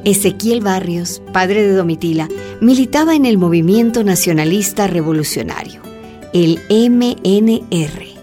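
A woman's voice: medium pitch, animated, measured pace, music in the background, lightly acted. A woman playing a courteous, inquisitive journalist who narrates a radio drama in a polite storytelling manner. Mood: narrating.

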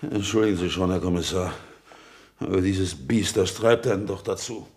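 An actor in a radio play playing a gruff, hot-tempered farmer who is curt and defensive. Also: male voice, low-pitched, moderate pace, a little mellow, slight background noise. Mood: grudging. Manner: curt, defensive, easily provoked. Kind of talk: German radio play acting.

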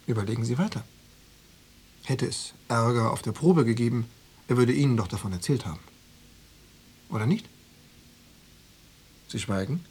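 An actor playing an insinuating Stasi officer in a radio drama, probing and coaxing with cool formality and veiled menace. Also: male voice, medium pitch, moderate pace, long pauses, a little mellow, husky. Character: insinuating male Stasi officer pressuring an informant. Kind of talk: German radio drama dialogue.

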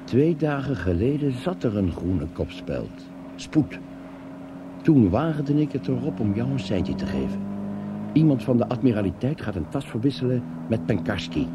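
An actor playing a guarded double agent in a radio play, reporting in a measured, matter-of-fact way. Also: male voice, mid-range voice, very animated, dull audio, close to an everyday voice. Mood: guarded, grave. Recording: loud car engine hum and road noise.

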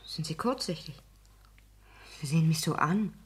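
An actress playing a practical, reserved petty-bourgeois housewife in a German radio play, restrained and matter-of-fact. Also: female voice, sits low, expressive, moderate pace, breathy edge, heavily acted. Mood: wary, curious. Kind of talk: 1960s German radio drama acting.

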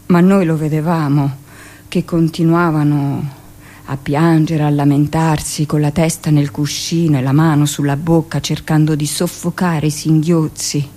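An actress playing a hardened midwife, recounting hospital memories matter-of-factly in a theatrical radio monologue. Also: female voice, sits low, little pitch movement, unhurried, mellow. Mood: matter-of-fact, grim.

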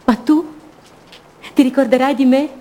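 High-voiced lonely woman in love. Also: fairly even intonation, mellow. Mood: fervent, pleading. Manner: intimate, lyrical, short measured phrases. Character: lonely young woman in love, longing and wounded. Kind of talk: radio theatre monologue.

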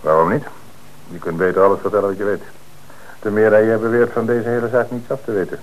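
Low-voiced, stern police inspector played by a man. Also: level tone, fast, highs cut off, close to an everyday voice. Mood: probing. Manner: probing, insistent, methodical questioning. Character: stern, sceptical male police inspector interrogating a suspect. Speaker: actor playing a police inspector. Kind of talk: Dutch radio drama dialogue.

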